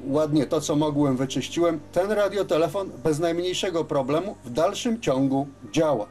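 A man gives an unscripted workshop demonstration as a repair technician, explaining step by step with hesitant pauses. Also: fairly high pitch, darkish tone, soft background music. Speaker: radio repair technician presenting his work. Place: radio service workshop, indoors at a bench.

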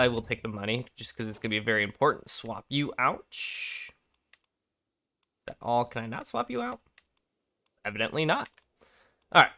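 A young man with a raspy voice from being sick, casually commenting on a strategy game, thinking aloud and clearing his throat often. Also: mid-range voice, pushed and bright. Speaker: gameplay commentator. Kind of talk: casual let's play commentary.

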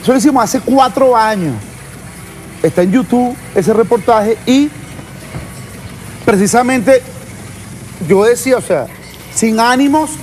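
An insistent Venezuelan voice in an unscripted political discussion, speaking as a panelist and reporter. Points get repeated for emphasis in a colloquial flow, at a medium pitch, over faint background noise. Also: a little mellow.

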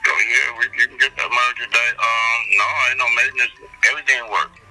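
A man's voice: medium pitch, steady tone, quick pace, bright, forceful tone. A man selling a van in a casual phone conversation, slangy and blunt, heard over a telephone line. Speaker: private van seller. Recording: phone call with music behind.

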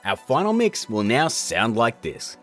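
Lively, informal male tutorial host. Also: medium pitch, a little slow, soft background music. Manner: chatty, informal, jokey. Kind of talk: casual software tutorial narration.

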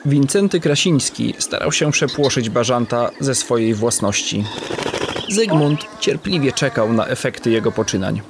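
A man's voice: mid-range voice, animated, normal speed, background noise. A man narrating a scripted legend in a literary, dramatic storytelling manner.

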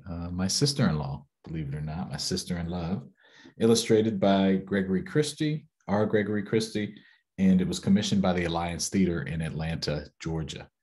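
A middle-aged American man reading a picture book aloud with casual asides, in an expressive, unhurried way. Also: deep voice. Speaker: guest story reader, local councilmember. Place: small indoor room, seated at a desk.

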